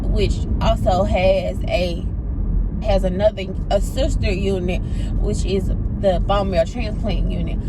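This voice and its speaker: A young woman chats to her viewers in an unhurried drawl, her voice gravelly and a little stuffed up from a cold. Her delivery is slow and easygoing, and clear road and car noise runs underneath her talk. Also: mid-range voice. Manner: chatty, drawling, addresses viewers as y'all. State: congested, sniffling. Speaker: pediatric nurse and vlogger. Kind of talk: casual vlog chat. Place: car and errands.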